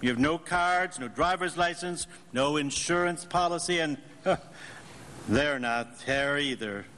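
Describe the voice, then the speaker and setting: An actor playing an innocent, quaint, elderly guardian angel with a guileless, whimsical manner in a live radio drama. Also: male voice, a little high, pushed and bright. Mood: solemn, gentle. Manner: guileless, whimsical, unhurried explaining. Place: theatre stage. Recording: live stage radio play with music.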